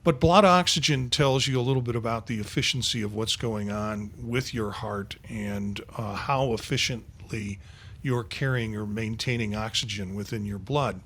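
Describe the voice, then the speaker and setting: A man's voice: lowish voice, normal speed, a bit bright, a little hiss or hum. Rambling man in his sixties. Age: 60s. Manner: rambling, with many fillers. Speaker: podcast host. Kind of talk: casual panel chat.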